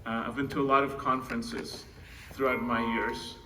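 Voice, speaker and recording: Bright-voiced Czech man addressing a conference. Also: medium pitch, level tone, moderate pace. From Czech Republic. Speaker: conference speaker introducing co-founder. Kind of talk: informal introductory speech. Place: indoor conference room. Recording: distant mic, faint noise, room ambience.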